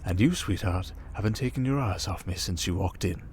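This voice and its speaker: A man playing a smug, manipulative criminal mastermind in an ASMR roleplay, calm and condescending, coaxing with endearments and soft breathy murmurs. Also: low-pitched, fairly quick, a little hiss or hum, lightly acted. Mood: teasing, probing. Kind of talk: ASMR roleplay monologue, dramatic.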